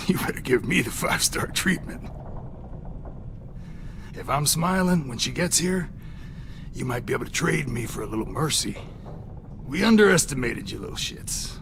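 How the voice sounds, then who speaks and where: Very gravelly, sardonic wounded raider. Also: male voice, medium pitch, noisy background. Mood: mocking, then bitter, menacing. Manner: taunting, profane, sardonic. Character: wounded, sardonic middle-aged male raider held captive, menacing. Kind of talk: video game voice acting.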